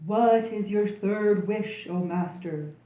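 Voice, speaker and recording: A woman voicing an obedient male storybook genie, speaking slowly and formally in a booming way. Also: warm tone, reverberant, natural voice, rough recording. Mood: solemn, servile. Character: big obedient male genie servant of the lamp.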